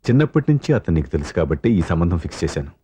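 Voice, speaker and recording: Deep, mellow, stern father. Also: sing-song, racing, faint music behind. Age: middle-aged. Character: stern, authoritarian middle-aged father. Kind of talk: Telugu film drama acting.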